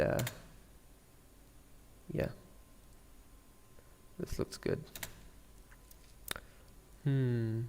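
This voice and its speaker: A man with a Sri Lankan accent giving a casual software tutorial, thinking aloud in a hesitant murmur as he works. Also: mid-range voice, gravelly, big-room sound, a little hiss or hum. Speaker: 3D animation tutorial streamer.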